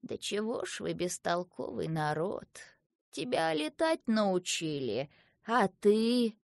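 A woman's voice: medium pitch, very animated, takes it slowly, creaky, no room sound, studio quality, exaggerated voice. A woman voicing a stern, worried grandmother who scolds in an exasperated, emphatic manner.

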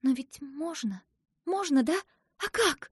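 Woman as an anxious, high-voiced little girl. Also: a little slow, no room sound, clean studio sound. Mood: pleading. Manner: eager, breathless pleading. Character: anxious, pleading little girl in a fairy tale.

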